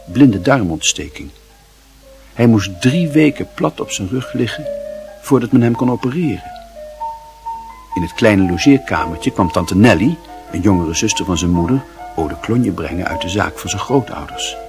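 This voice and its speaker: A man narrating a radio play in a measured, expressive, literary storytelling style. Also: fairly low pitch, over music.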